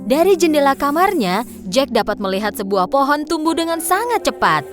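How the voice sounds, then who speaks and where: A woman's voice: high voice, big pitch swings, fairly quick, music in the background. A woman narrating a scripted fairy tale for children.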